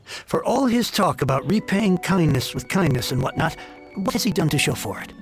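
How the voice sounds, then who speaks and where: A man's voice: a little high, big pitch swings, moderate pace, faint music behind. A man playing an effusive, formal heir of a fallen merchant family in a video game, speaking earnestly and wordily. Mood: surprised, disdainful.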